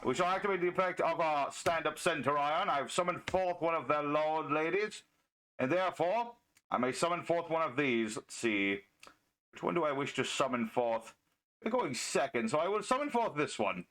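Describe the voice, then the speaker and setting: Higher-pitched man as a pompous medieval knight. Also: animated, normal speed, fairly bright tone, rough recording. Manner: pompous, mock-archaic chivalrous speech. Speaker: gaming video host and player. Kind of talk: in-character card game commentary as a medieval knight.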